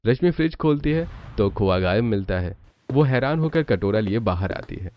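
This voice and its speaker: A man's voice: on the low side, animated, normal speed, muffled recording, slight background noise. A man reading scripted narration for a cartoon family story.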